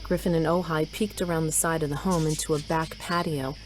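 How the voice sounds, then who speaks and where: A woman's voice: sits low, fairly even intonation, a little fast. A woman reading scripted story narration for an audio drama.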